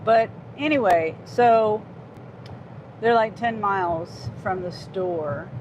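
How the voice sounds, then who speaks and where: A woman's voice: mid-range voice, takes it slowly, a little mellow. Chatty woman in her fifties, noisy car. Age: 50s. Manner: chatty and rambling, with frequent restarts. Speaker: gig delivery driver livestreaming. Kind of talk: casual live-stream chat reading viewer comments.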